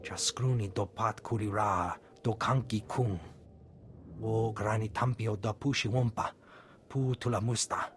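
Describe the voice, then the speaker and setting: A man's voice: lowish voice, steady tone, measured pace, slight background noise, lightly acted. A man voicing an earnest alien informant in a video game. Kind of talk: game alien acting in invented tongue.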